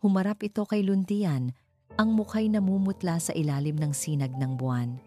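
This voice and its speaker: A woman's voice: fairly low pitch, moderate pace, dark tone. A woman reading a scripted story in dramatic narration. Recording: music behind the voice. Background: background music.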